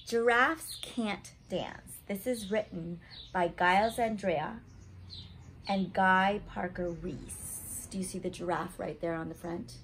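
A woman's voice: on the low side, takes it slowly, a bit bright, a little hiss or hum. A woman in her thirties reading stories aloud to young children, adding chatty asides and simple questions for her little listeners. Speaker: story time reader for children. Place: home living room, indoors.

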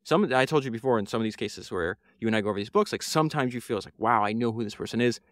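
A man's voice: medium pitch, racing, fairly bright tone, dry recording, clean studio sound. A man, hosting a solo podcast and talking through a book in an earnest, rambling way with many fillers and restarts. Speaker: podcast host.

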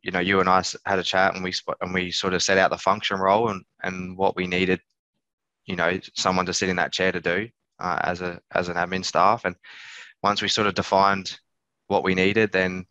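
A man in his thirties, a business owner giving casual, halting interview answers, thinking aloud. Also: low voice, completely flat delivery, bright, forceful tone, dry recording. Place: small office on a video call. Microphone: headset. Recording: slightly dull video-call audio.

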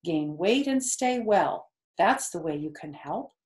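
Lady reading as a calm mom-like spider, reassuring, kinda instructive, storybook, roomy sound. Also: lowish voice, takes it slowly. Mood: gently firm. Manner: cool, collected, gently instructive. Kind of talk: read-aloud children's storybook. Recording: roomy, echoey indoor sound.

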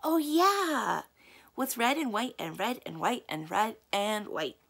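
A woman's voice: fairly high pitch, animated, slow, creaky, a bit bright, slightly rough, pitched above the performer's own voice, full character voice. A woman voicing an eager, gleeful little girl in a storybook read-aloud. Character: excitable, giggly little girl telling jokes.

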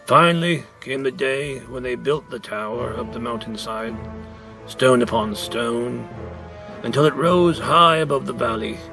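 A man reading a storybook aloud in a deliberate, theatrical narrating style. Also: medium pitch, gravelly, poor audio. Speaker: story reader.

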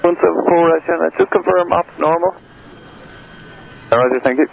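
Man, air traffic controller, tinny radio channel, slightly high creaky voice, clipped businesslike instructions. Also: normal speed. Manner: procedural, businesslike. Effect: aviation radio. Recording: noisy, narrow-band air traffic radio.

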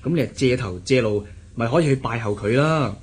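An actor playing a sly, teasing middle-aged adviser in a radio drama, speaking Hong Kong Cantonese. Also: male voice, medium pitch, a little fast, breathy edge. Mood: scheming.

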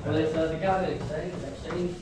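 A man's voice: fairly high pitch, level tone, brisk, reverberant, recorded from a distance, background noise. A man hosting an exploring video, chatting casually in a pub cellar and calling people "mate". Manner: easygoing, friendly, says "mate" a lot. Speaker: explorer and show host. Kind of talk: casual chat on location.

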